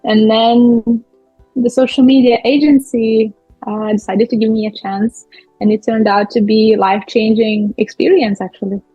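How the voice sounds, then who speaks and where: A young woman from Lithuania, a guest speaking in a friendly, halting way with many fillers. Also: a little high, little pitch movement, breathy edge, dry recording, faint music behind. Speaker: guest, business owner. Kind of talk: casual interview talk. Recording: muffled, dull audio.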